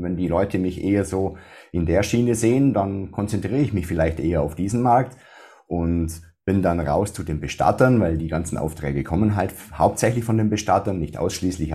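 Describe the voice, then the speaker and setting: A man with a deep bass-baritone voice and a faint Swabian accent, talking in an interview in a measured way with many restarts. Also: mellow. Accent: slight Swabian. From Swabia, Germany. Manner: measured, with frequent restarts and fillers. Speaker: funeral speaker. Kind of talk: casual interview talk.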